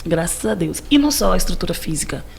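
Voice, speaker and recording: A woman's voice: fairly low pitch, animated, quick pace, gravelly, darkish tone, breathy edge. A woman in her thirties from Cape Verde, chatting casually as a podcast guest and repeating phrases for emphasis. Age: 30s. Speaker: podcast guest, civil engineering teacher. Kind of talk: casual interview chat.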